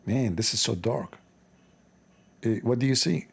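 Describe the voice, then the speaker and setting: A man with an Arabic accent reading aloud haltingly and breaking off for sarcastic, joking commentary. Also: mid-range voice, vocal fry, husky. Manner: halting, stumbling reading of English.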